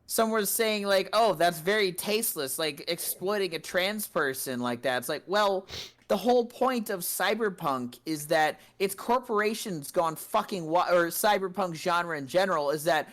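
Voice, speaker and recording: A young man co-hosting a casual gaming chat, animated and swearing as he rants. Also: sits high. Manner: animated, swears, quick bursts when ranting. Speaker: podcast co-host.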